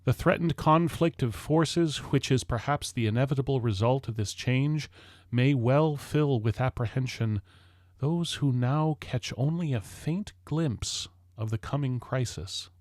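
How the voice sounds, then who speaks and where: A man reading a historical speech aloud as formal oratory, solemn and declamatory, with deliberate pauses between measured phrases. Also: mid-range voice, darkish tone, dry recording, studio quality.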